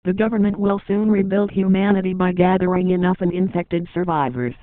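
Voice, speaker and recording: A man's voice: high voice, fairly even intonation, brisk, no room sound, natural voice. A man playing an urgent survivor broadcasting a plea in an emergency drama. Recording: dull lo-fi transmission.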